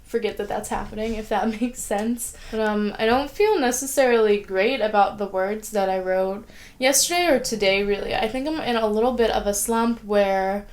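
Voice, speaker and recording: A woman's voice: mid-range voice, moderate pace, darkish tone. Young adult woman, casual at-home vlogger, chatty and rambling, a little sick and sniffly. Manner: chatty, rambling, frequent "like" fillers. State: sniffly with a sore throat. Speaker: writing vlogger. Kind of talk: casual vlog chat. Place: at home, indoors.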